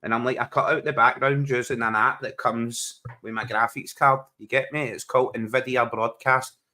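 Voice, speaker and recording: Glasgow man, podcast host, bright and pushy, teasing banter over a video call. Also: medium pitch, fairly even intonation, moderate pace. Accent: Glaswegian Scottish. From Scotland. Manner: joking, teasing, calls his friend bro and mate. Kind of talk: casual livestream banter.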